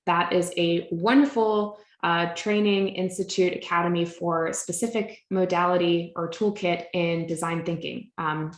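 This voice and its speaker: A woman's voice: fairly low pitch, roomy sound. A woman hosting an online workshop, guiding a round of introductions in a friendly way with fillers. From Virginia area, United States. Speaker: meetup host and facilitator. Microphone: video call.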